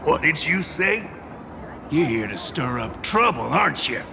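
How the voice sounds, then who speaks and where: A man's voice: a little high, sing-song, measured pace, gravelly, noisy background, heavily acted. A man playing a hot-tempered, swaggering gangster underboss in a video game, brash and theatrical, sliding between snarls and mock politeness. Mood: suspicious, angry. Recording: loud background music.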